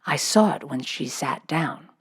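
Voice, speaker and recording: A woman's voice: sits low, animated, measured pace, husky, heavily acted. A woman voicing a spiteful, gossipy schoolgirl of nearly thirteen in a children's storybook. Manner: gossipy, sniffy.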